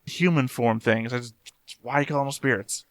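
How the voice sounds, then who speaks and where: A man's voice: medium pitch, moderate pace, no echo, clean studio sound. A man giving casual, off-the-cuff commentary as he watches a show, blunt and given to casual swearing. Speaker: reaction video host.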